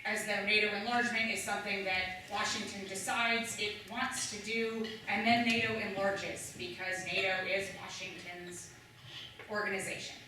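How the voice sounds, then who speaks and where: A woman's voice: medium pitch, level tone, moderate pace, sharp tone, slight background noise. A female historian from Canada speaking as a guest at an academic panel, fluent and lightly emphatic. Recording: echoey room, far from the mic.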